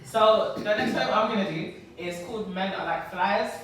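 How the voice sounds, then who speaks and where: A young woman poet chatting casually and giggly with an audience between poems, and reciting slowly and deliberately. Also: mid-range voice, a loud crowd. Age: young adult. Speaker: poet and performer. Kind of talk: casual stage chat, then poetry recital. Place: large indoor event hall with audience. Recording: echoey hall, distant mic, audience noise.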